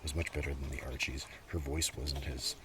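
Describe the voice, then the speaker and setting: A man speaking in a soft, casual ASMR ramble that often slips toward a whisper, with unhurried murmured asides. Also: a bass voice, level tone. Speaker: host of a comics collection ASMR video. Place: outdoors. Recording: noisy outdoor recording with breeze. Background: breeze, page flipping, ambient noise.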